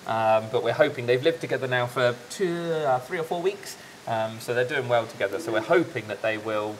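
A man's voice: medium pitch, a little fast, slight background noise, low-quality recording. Young man giving a shop tour. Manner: relaxed, explains with fillers like um and yeah. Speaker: fish shop staff member. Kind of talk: casual walkthrough chat. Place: large indoor aquarium shop.

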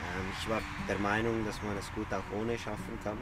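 A man's voice: on the low side, flat delivery, very noisy. A man in his twenties from Switzerland with a Swiss accent, giving casual, hesitant interview answers outdoors. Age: 20s. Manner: hesitant, measured, halting phrasing. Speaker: interview guest, pilgrim. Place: outdoors on a field path and at a garden table.

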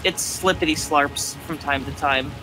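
A young man with an American accent and a naturally high voice, casually coaching someone through a video game. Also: unhurried, over music, rough recording. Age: young adult. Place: home gaming room, indoors.

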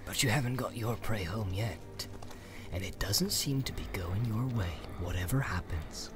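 A man voicing a small, plucky hobbit, speaking in a hushed, shrewd way in a fantasy audiobook. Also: on the low side, normal speed, over music, voice pushed down, lightly acted.

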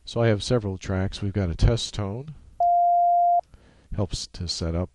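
A man giving a casual, unscripted software walkthrough in halting steps, speaking into a USB microphone. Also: on the low side, background noise. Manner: halting, unscripted step-by-step explaining. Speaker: software tutorial presenter. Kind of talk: casual screen-capture tutorial narration.

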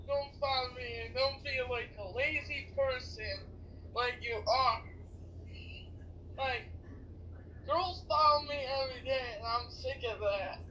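High, bright-voiced man on a phone call. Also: a little slow, long pauses. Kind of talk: casual chat on a video call. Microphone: video call played through a phone. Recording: phone speaker, faint background noise.

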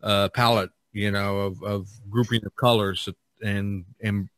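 A man hosting a podcast, chatting casually and haltingly, often repeating words. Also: lowish voice, little pitch movement, a bit bright, dry recording. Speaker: podcast host. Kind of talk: casual chat.

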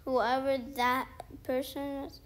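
A woman's voice: sits high, flat delivery, takes it slowly. A young woman casually commentating her mobile football game, rambling and thinking aloud with many "like"s and restarts. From outside the featured countries. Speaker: gaming video creator.

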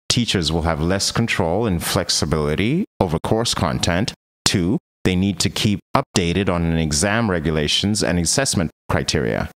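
A man reading a scripted instructional voice-over in a measured way, setting out points one by one. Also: sits low, fairly bright tone. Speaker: voice-over narrator.

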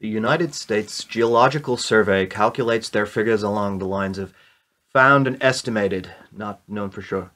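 A man acting in a radio play as a dry, matter-of-fact government analyst, precise and deadpan. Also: fairly low pitch, normal speed. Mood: dry briefing.

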